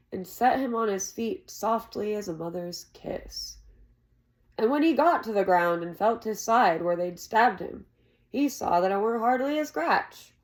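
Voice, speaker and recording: A woman voicing a proud, sharp old man telling dramatic tales in a tavern, in a theatrical, emphatic way. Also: medium pitch.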